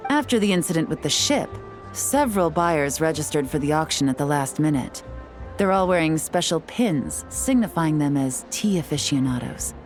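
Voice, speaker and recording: An actress playing a cool, shrewd and composed operative in a video game, speaking with calm assurance. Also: female voice, sits low, moderate pace, faint music behind. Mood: cool, calculating. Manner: calm, assured, smooth-talking.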